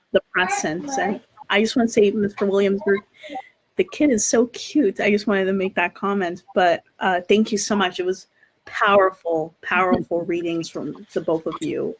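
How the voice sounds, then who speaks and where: A woman moderating a live online discussion, speaking in a halting but effusive way. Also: mid-range voice, slightly rough, poor audio. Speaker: event moderator.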